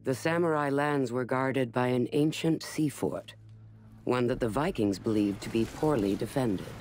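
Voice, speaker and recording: A man's voice: medium pitch, moderate pace, darkish tone, faint music behind, lightly acted. A man playing a storyteller who narrates a Viking tale in scripted, cinematic video game style. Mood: measured storytelling.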